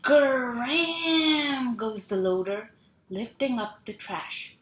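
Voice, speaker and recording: Slow-paced woman reading to young children, roomy echo. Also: a little high, steady tone. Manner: sing-song, stretches and repeats sound words, asks children simple questions. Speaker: children's teacher reading a picture book aloud. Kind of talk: animated picture-book read-aloud for young children. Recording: roomy echo, clear background noise.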